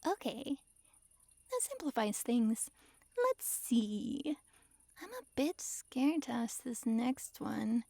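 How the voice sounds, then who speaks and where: Woman doing a high voiced yandere fox girl, giggly and clingy, sweet but bossy, super dry intimate asmr sound. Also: big pitch swings, drawn-out words, vocal fry, breathy edge, no echo, heavily acted. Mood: shy, hesitant. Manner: sweetly controlling, giggly, swings between gushing and hushed coaxing. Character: possessive, lovestruck young-sounding fox-girl mage, dominant yet giddy. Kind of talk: intimate ASMR roleplay addressed to listener.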